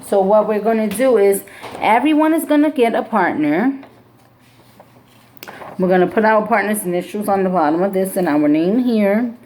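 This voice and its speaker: A woman teacher reading a picture book aloud to a class in a slow, expressive storybook manner, then giving classroom instructions. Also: medium pitch, a little hiss or hum.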